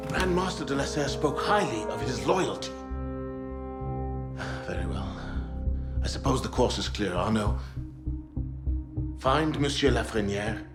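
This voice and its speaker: An authoritative assassin mentor, played by a male actor, speaks sternly at a middling pitch. His voice echoes through a hall, with a clear music bed in this game drama. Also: expressive, unhurried, pauses often, lightly acted. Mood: businesslike. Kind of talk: cinematic video game dialogue.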